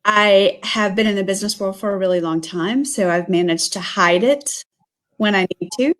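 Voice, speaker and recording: A woman from South Georgia hosts a relaxed livestream chat, asking her guest questions in a friendly, talkative way. Her voice sits at a middling pitch and is full of easy fillers like "um" and "yeah". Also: normal speed. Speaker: livestream host, interviewer. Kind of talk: casual livestream interview.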